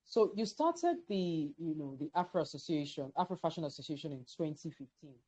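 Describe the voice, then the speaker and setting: Mellow low-voiced woman interviewer. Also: sing-song, a little fast. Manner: repeats words, stammering starts. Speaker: interviewer, host. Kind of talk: interview questions, conversational.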